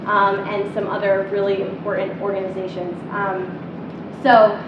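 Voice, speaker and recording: A woman's voice: medium pitch, flat delivery, moderate pace, background noise. A young woman, a teaching assistant, introducing a guest speaker in a university lecture hall with earnest, um-filled talk. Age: young adult. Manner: earnest, with frequent ums. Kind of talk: speech introduction. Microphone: far room mic. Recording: distant, muffled, echoey hall recording.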